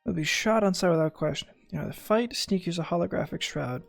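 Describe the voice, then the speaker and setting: A woman's voice: on the low side, a little fast, creaky. A woman giving casual, flippant, joking commentary while streaming a video game. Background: game music.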